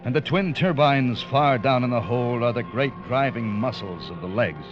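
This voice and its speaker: An actor playing a reserved first officer of a ship who narrates a 1940s radio play, formal and terse in dialogue. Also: male voice, mid-range voice, animated, moderate pace, close to an everyday voice. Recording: lo-fi vintage radio broadcast with music.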